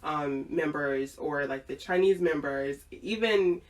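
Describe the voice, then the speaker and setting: A woman's voice: deep voice, takes it slowly. Giggly woman host, distant mic. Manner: giggly, many fillers and restarts. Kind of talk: casual chat.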